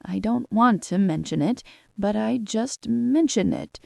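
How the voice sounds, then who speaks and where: Woman reading as mopey old donkey, slow sulky drawl, a bit gravelly. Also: mid-range voice, animated, darkish tone, dry recording, studio quality, exaggerated voice. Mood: grumbling. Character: gloomy old grey donkey, grumbling.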